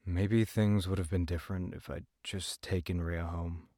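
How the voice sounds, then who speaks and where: A man acting an anxious, weary young man who gives a halting, confessional first-person account in an audio drama. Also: deep voice, fairly even intonation, dark tone, no echo. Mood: uneasy, reflective. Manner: halting, hesitant. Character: weary, anxious young man recounting a frightening road trip.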